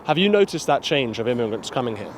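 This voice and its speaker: A man's voice: medium pitch, sing-song, fast. Male street interviewer, probing questions, outdoors, faint traffic noise.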